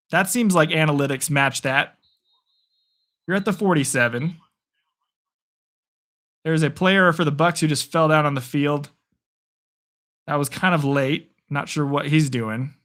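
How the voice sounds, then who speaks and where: A young man calling play-by-play for a live stream, excitable and chatty, answering chat, his voice getting worn. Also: on the high side. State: losing his voice. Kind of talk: casual live play-by-play commentary.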